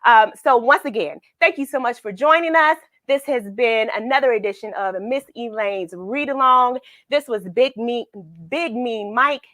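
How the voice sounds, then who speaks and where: A woman's voice: on the high side, normal speed, fairly bright tone, no room sound. A woman hosting a children's read-along, chatting informally in a playful, affectionate, encouraging way and repeating phrases for emphasis.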